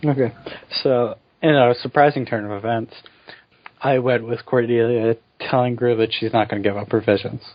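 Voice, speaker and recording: A man's voice: mid-range voice, steady tone, normal speed, dry recording. A man co-hosting a casual chat podcast, talking things through thoughtfully and steering the segments. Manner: thoughtful, leads the show's segments. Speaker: podcast co-host. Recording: dull lo-fi podcast audio.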